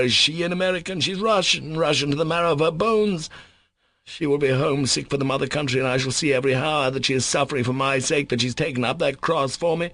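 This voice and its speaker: A man's voice: fairly high pitch, a bit bright, no room sound, voice pushed up. A man reading aloud as an anguished, passionate young Russian man who speaks in overwrought outbursts.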